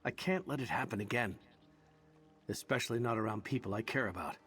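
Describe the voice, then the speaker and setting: An actor playing a troubled, self-sacrificing adventurer in a fantasy video game, wry and rueful. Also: male voice, mid-range voice, a little fast, fairly bright tone, husky, faint music behind. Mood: rueful, resolved.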